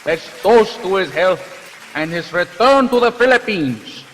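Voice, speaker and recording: An actor playing a genial, formal dinner companion in a radio drama, polite and ceremonious. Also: male voice, high voice, lively intonation, measured pace, a bit bright, some chatter behind, a little hiss or hum. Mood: hearty, ceremonious. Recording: long hall reverb, music underneath.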